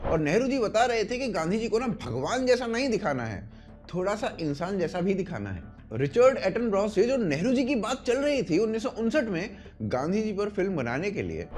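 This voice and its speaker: A man's voice: high voice, a little mellow. A man with an Indian accent presenting a scripted explainer as host, in an emphatic, opinionated storytelling manner. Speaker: host of a video essay.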